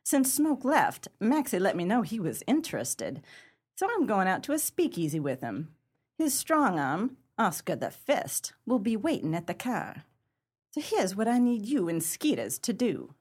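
A woman playing a tough, proud 1930s gang leader in a pulp crime radio play, with brassy, slangy gangster talk and a husky voice. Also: mid-range voice, sing-song, a little slow, darkish tone. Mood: bossy, scheming.

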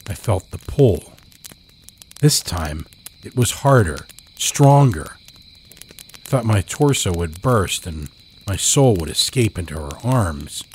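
Over night crickets comes a man acting a haunted, bereaved lover telling a campfire horror tale. The voice is very low and very slow. The delivery is solemn and brooding, full of frail longing. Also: slight background noise. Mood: strained. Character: grieving, frail narrator longing for his dead beloved. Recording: cricket night ambience under the voice.

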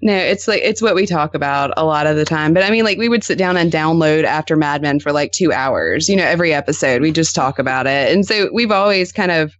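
Female podcast guest, thirties, animated casual anecdote-teller, dry close sound. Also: deep voice, fairly quick, hardly any pauses, no echo, muffled recording. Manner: animated storyteller, peppers talk with "like".

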